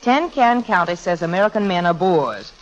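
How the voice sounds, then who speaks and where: On a dull, muffled old radio recording, a woman plays a poised young heiress with a tart tongue. Her delivery is highly animated and sardonic, in the manner of 1940s screwball radio comedy. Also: moderate pace, lightly acted. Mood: scornful. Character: sharp-tongued, spirited young American heiress.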